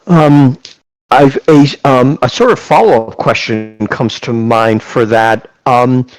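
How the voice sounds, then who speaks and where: A man's voice: mid-range voice, takes it slowly, low-quality recording. A man hosting an online class on a video call, reading out chat questions and praising them effusively. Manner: effusive, repeats praise, reads questions aloud. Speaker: class host and moderator.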